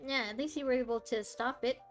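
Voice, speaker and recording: A woman's voice: sits high, steady tone, fast talker. A woman doing casual, playful gameplay commentary as a streamer, reacting aloud to the game. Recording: game music behind the voice.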